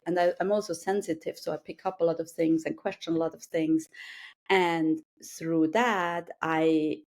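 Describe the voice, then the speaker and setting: Swiss lady guest, low voice with some fry, lots of ums and starting over. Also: a little mellow. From Switzerland. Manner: hesitant, many restarts and fillers, laughs easily. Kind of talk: casual interview conversation.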